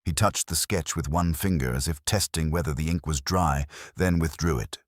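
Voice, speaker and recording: A man reading scripted detective fiction in a measured, wry first-person manner, as an audiobook narrator. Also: a bass voice, dry recording, studio quality.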